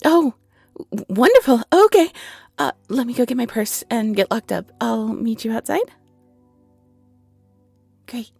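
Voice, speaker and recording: A woman's voice: a little high, expressive, unhurried, gravelly, long pauses, darkish tone, husky, dry recording, soft background music, heavily acted. A woman in her twenties playing a shy, flustered half-fairy tea shop keeper in an audio roleplay, with a nervous, stammering, giggly and apologetic way of talking. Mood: excited, flustered. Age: 20s. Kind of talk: scripted audio roleplay, intimate and conversational.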